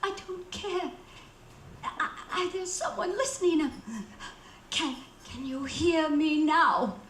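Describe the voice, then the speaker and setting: A woman's voice: high-pitched, slow, fairly bright tone. A woman playing a fretful, demanding invalid who is alone and frightened, repeating herself breathlessly in a radio suspense play. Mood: hysterical terror. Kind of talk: radio suspense drama acting. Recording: roomy echo with faint background noise.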